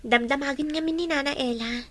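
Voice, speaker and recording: Woman acting a high, bright, inquisitive young daughter. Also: a little fast, dry recording. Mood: explaining. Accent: Filipino. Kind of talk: Ilocano audio drama acting.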